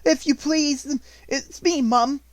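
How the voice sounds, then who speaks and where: A reader voicing a poor young servant girl who begs and sobs in rough, ungrammatical speech. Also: high voice, slow, no echo, pitched above the performer's own voice. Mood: pleading.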